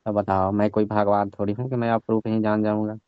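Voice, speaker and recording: A man's voice: lowish voice, level tone, fairly quick, clear, resonant tone, no room sound, natural voice. A man playing a nosy, suggestive father-in-law in a staged comic phone call. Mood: concerned, suggestive. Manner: prodding, colloquial, mock-innocent. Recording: muffled, lo-fi, dry call recording.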